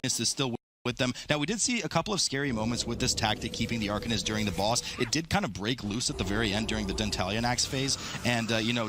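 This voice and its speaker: A man giving excitable, chatty live play-by-play commentary on a video game match. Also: medium pitch, noisy background. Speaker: esports commentator.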